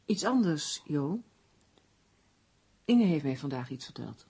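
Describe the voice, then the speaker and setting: Earnest novelist under threat, mellow and quite raspy, serious radio drama. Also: female voice, low-pitched, very animated, takes it slowly, exaggerated voice. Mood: uneasy. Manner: serious, insistent. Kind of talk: Dutch radio play acting.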